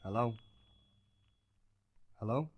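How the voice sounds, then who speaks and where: A man's voice: medium pitch, slow pace, a little hiss or hum, close to an everyday voice. An actor playing a guilt-ridden, sleepless young man in an audio horror drama. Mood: agitated, desperate.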